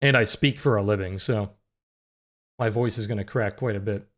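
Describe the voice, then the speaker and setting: Deep-voiced man chatting on a livestream. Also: normal speed. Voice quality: naturally deep voice that sometimes cracks high. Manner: relaxed, filler-heavy, answers chat questions. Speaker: livestream trading host. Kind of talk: casual live-stream chat with chat readers.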